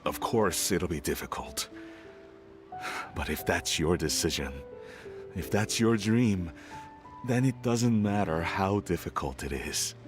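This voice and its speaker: An actor playing a reserved, proud father who talks in a gentle, halting way with awkward chuckles. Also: sits low. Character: gruff older father, reserved but proud. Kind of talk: video game voice acting.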